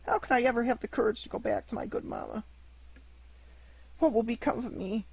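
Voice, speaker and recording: A reader voicing a mischievous wooden puppet boy in a storybook, high and pleading. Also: sing-song, moderate pace, husky, dry recording, low-quality recording. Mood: wailing. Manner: high, wailing, desperate pleading. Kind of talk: storybook reading.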